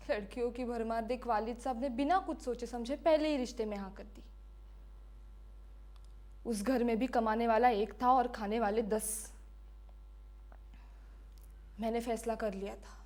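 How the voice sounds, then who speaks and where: A woman playing a defiant, bitter condemned prisoner giving her last testimony in a deliberate, impassioned dramatic monologue. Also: fairly high pitch, darkish tone, close to an everyday voice. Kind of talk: dramatic film-style monologue.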